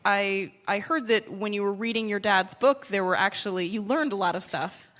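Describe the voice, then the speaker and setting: A woman conducts a radio interview in a bright, forward voice. Her pitch is average for a woman, and her questions come out conversationally. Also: moderate pace.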